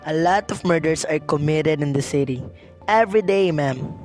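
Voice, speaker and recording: Unhurried, dismissive police sergeant played by a man. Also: fairly high pitch, soft background music, close to an everyday voice. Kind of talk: radio drama acting.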